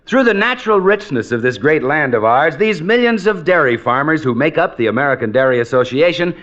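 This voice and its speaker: A man's voice: a little high, lively intonation, a little fast, fairly bright tone. A man reading a scripted sponsor announcement as an announcer on a 1950s radio show. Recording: dull, slightly noisy old radio recording.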